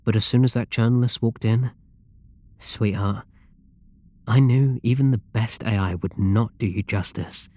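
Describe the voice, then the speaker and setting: A man's voice: on the low side, a little slow, no room sound, lightly acted. A man with a British accent playing an obsessive, possessive, lovestruck game developer in an intimate roleplay audio drama, doting and controlling. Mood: fervent, confiding. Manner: doting, intimate, pleading endearments.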